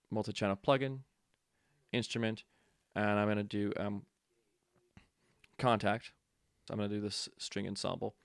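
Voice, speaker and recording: A man giving a casual software tutorial, informal and offhand, thinking aloud as an instructor demonstrating step by step. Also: fairly low pitch, gravelly, dry recording, studio quality.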